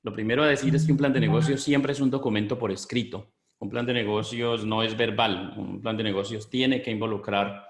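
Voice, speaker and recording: A middle-aged Colombian man with a Colombian Spanish accent, giving a business webinar in a measured, explanatory way, often pausing on fillers. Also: lowish voice, steady tone, echoey room. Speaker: business consultant presenting a webinar. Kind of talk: webinar presentation.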